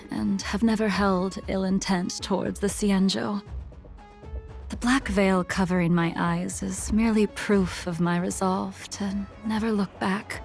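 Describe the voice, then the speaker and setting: An actress voicing a calm, solemn swordswoman in a video game, with a cool, measured, formal delivery. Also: mid-range voice, gravelly. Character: calm, solemn, veiled swordswoman of great composure. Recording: music behind the voice.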